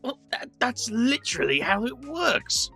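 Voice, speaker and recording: An actor playing a weary, sardonic adventurer with a dry manner in an audio drama. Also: male voice, extremely high pitch, very animated, unhurried, creaky, bright, forceful tone, faint music behind, exaggerated voice. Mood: wry, curious. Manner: dry, world-weary, sarcastic. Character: weary, sardonic supernatural adventurer with family baggage.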